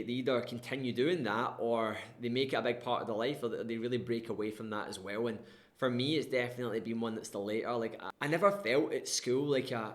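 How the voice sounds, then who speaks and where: A young man with a West Coast Scottish accent, candidly telling his own life story to the camera in a chatty, rambling way. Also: mid-range voice. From Scotland. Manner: candid and chatty, with frequent fillers and restarts. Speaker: coaching brand founder. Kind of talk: personal story told to camera.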